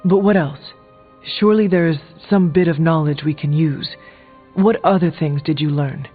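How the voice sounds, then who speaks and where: An actor playing a stern, resolute warrior hero in a video game, with a formal, blunt and solemn way of speaking. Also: male voice, a little high, normal speed, natural voice. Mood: urgent. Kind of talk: video game character dialogue. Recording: thin phone-like audio, music behind.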